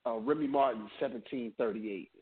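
A man in his late thirties, a podcast co-host from New Jersey, chatting in a relaxed, joking way. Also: mid-range voice, a little hiss or hum. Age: 30s. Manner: laid-back, joking, drawn-out storytelling. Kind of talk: casual chat. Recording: tinny phone-like audio.

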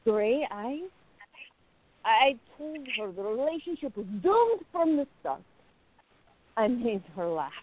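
A woman playing a jealous, besotted young woman confiding in her diary in a radio play, intimate, expressive and musing. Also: fairly high pitch, takes it slowly, pauses often, no echo, exaggerated voice. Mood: curious, musing. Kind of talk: dramatic first-person diary narration in a radio play. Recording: narrow phone-like lo-fi sound.

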